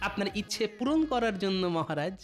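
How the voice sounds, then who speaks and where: High voiced cartoon jester, witty and sly, theatrical pleading, music behind. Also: male voice, animated, a little fast. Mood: clever, explaining. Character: clever witty court jester, humble but sly man. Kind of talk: Bengali comedy cartoon dubbing.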